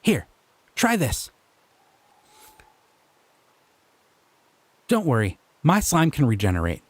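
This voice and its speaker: A man's voice: a little high, darkish tone, no echo, heavily acted. A man playing a sweet, shy slime creature. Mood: tender. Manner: sweet, playful, bashful. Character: gentle, curious, shy male slime creature. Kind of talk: audio roleplay for a listener.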